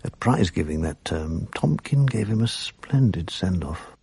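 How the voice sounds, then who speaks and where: A man narrating a radio drama in a measured, reminiscent way, looking back on his school days. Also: low-pitched, expressive, mellow, slightly rough, no echo.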